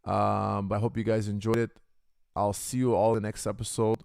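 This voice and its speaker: A man hosting a casual livestream podcast through a deep robotic voice filter, chatting with viewers and calling them guys. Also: little pitch movement, brisk, creaky, darkish tone, clean tone, no room sound, clean studio sound. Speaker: podcast host. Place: Canada.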